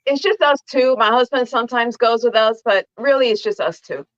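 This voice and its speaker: A woman from Miami speaks as a paranormal investigator guest on a podcast. She talks plainly and matter-of-factly in a slightly high voice. Her video call audio sounds dull and lo-fi. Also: brisk. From Miami, USA. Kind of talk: casual chat.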